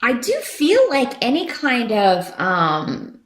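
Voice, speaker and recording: Woman tarot reader, lively swooping pitch. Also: takes it slowly. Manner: conversational, rambling, reassuring. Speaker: tarot reader and channel host. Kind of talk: unscripted tarot reading to viewers. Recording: roomy echo.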